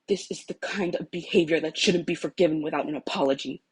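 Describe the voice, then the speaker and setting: Upright teen boy for a storybook, formal, husky, scolding female reader acting him. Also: deep voice, fast. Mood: disappointed. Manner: formal, reproving. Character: stern, upright, indignant teenage boy student.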